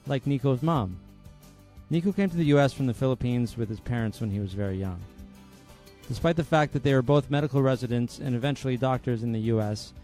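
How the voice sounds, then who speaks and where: A man hosting a podcast, reading a scripted introduction and then chatting casually with a guest in a friendly, lively way. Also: mid-range voice. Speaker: podcast host.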